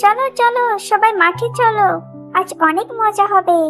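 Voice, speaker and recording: Very high-pitched cartoon child, played by an actor. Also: a little slow, pushed and bright, faint music behind, exaggerated voice. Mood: cheerful. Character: excitable young village child at play. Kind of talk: children's cartoon story dialogue.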